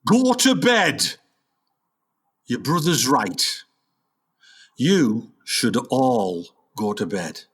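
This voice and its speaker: A man playing a weary, delusional older father and failed jazz musician in a comic radio play, musing grandly in a husky voice. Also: medium pitch, big pitch swings, extremely slow, pauses often, a bit bright, full character voice. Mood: weary, brusque. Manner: dramatic musing, talking to himself.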